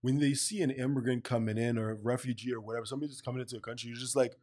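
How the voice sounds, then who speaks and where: A man's voice: medium pitch, steady tone, very fast, a little mellow. A man hosting a casual interview, talking in a hearty, friendly way and calling his guest "man" and "brother.". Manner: hearty, buddy-like, keeps saying "man". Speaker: podcast host.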